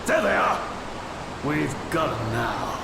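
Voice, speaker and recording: A man's voice: medium pitch, very animated, takes it slowly, creaky, background noise, full character voice. A man acting a hostile soldier barking at fugitives in a video game cutscene. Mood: triumphant alarm. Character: hostile male castle soldier hunting fugitives.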